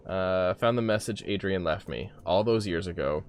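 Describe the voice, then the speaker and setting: A man's voice: low voice, normal speed, soft background music, close to an everyday voice. A man voicing a weary, stubborn middle-aged whiskey drinker from a video game, reading his bitter dialogue aloud. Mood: weary, wistful.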